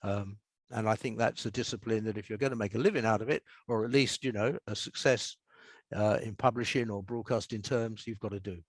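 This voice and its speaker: Older man, low-key dry studio sound. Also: fairly even intonation, brisk, dry recording. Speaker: guest author and scriptwriter. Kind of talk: reflective interview talk, with a short reading from own prose.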